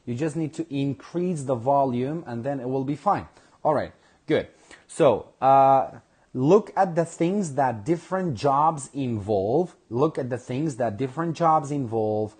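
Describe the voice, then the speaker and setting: Guy teaching english on a livestream, kinda husky, says stuff twice slow for the students. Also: mid-range voice, lively intonation, a little mellow. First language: Kurdish. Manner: slow, repeats phrases for learners. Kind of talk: live online English lesson.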